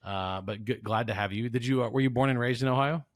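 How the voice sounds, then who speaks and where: A man's voice: mid-range voice, fast, dry recording. An American man hosting a podcast, chatting casually and jokingly as he interviews a guest. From South Louisiana, United States. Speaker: podcast host.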